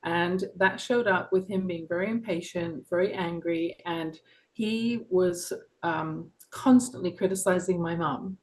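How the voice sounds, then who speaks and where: A woman's voice: lowish voice, a little slow, rough recording. A middle-aged woman with a London English accent, an author telling her story earnestly and passionately in an interview. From England. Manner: earnest, passionate, with soft laughs and frequent ums. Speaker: author and guest. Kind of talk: reflective personal interview.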